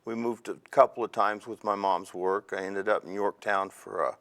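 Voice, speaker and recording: A man in his fifties from Indiana with a very low voice recalls his army days. He talks in a measured, reflective way and pauses often. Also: fairly even intonation, gravelly, a bit bright. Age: 50s. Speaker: interview guest, Army veteran. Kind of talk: oral history interview.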